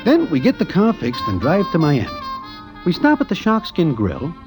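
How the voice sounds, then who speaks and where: An actor playing a slangy, nervous sidekick who tells a gangster tale in a 1940s radio drama, with music behind him. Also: male voice, on the high side, huge pitch swings, moderate pace. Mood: wry storytelling. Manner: present-tense storytelling, formal slangy phrasing. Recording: old radio broadcast with loud music.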